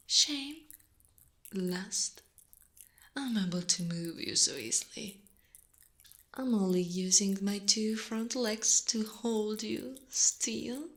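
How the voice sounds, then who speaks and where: A woman plays a giant female spider monster who treats her listener as a possession. She speaks very slowly and deliberately, slipping between soft voice and breathy whisper. The delivery is seductive and predatory, in an intimate ASMR roleplay style. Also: medium pitch. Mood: teasing, dominant. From Italy.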